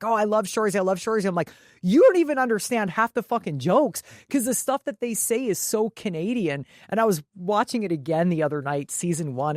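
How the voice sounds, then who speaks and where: A woman's voice: fairly low pitch, no echo, clean studio sound. A woman co-hosting a sports podcast, talking casually in a blunt, salty, slangy way. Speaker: podcast co-host, broadcaster.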